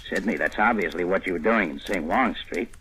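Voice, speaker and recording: An actor playing a wry, world-weary foreign correspondent, narrating and starring in an old-time radio drama with a breezy, hard-boiled manner. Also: male voice, deep voice, a little fast, bright, forceful tone, a little hiss or hum, poor audio. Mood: breezy, probing. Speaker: narrator and lead.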